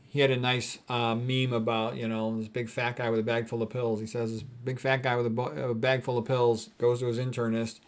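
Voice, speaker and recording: A man's voice: mid-range voice, darkish tone. A man giving an informal health talk as a presenter, explaining and commenting on quotes in a plain-spoken, colloquial way. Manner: plain-spoken, colloquial, often adding "okay" and "you know". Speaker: physician presenter of health talks.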